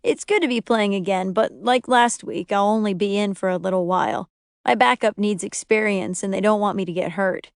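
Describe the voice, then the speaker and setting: A woman's voice: medium pitch, normal speed, dry recording, lightly acted. Easygoing young dad, voiced by a woman narrator, playful, teasing, audiobook dialogue, dry close sound.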